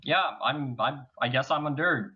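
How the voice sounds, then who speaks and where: A man's voice: medium pitch, normal speed, background noise. A young man from Bulgaria, a student, talking about himself in a rambling, casual way. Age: young adult. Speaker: player. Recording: dull lo-fi call audio.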